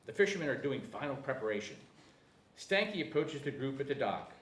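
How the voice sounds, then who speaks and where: A man's voice: mid-range voice, big pitch swings, a little fast. A man narrating screenplay directions at a table read, sometimes stumbling over the text. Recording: echoey room, faint noise.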